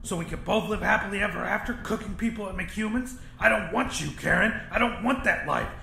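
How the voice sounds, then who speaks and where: A man's voice: sits high, a little fast, creaky, bright, forceful tone, reverberant, a little hiss or hum, pitched above the performer's own voice, exaggerated voice. A man voicing a crazed, vengeful young rebel with a sneering, ranting delivery in a horror audiobook. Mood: bitter fury. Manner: taunting, ranting. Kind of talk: dramatic horror audiobook dialogue.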